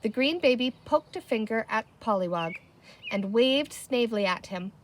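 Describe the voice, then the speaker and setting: A woman reads a children's book aloud in a scripted narrating style. Her voice is on the high side and very expressive in its rise and fall. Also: normal speed, slight background noise. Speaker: storybook reader.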